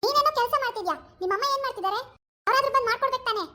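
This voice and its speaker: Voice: extremely high pitch, racing, bright, forceful tone, exaggerated voice. A performer voicing a spoiled, bossy young wife in a comic family skit who talks in a haughty, whiny way and mixes in English words. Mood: demanding.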